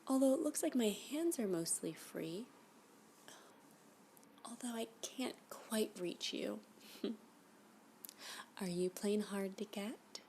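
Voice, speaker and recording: Woman playing a smitten stalker woman, ASMR roleplay, coy, unhurried, whispery, creaky, faint background noise. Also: mid-range voice, animated, dark tone. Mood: flirty, reassuring. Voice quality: breathy, often slipping into whisper. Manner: coy, slow, unhurried, with giggly asides. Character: flirty obsessive woman stalker, eagerly smitten with her captor.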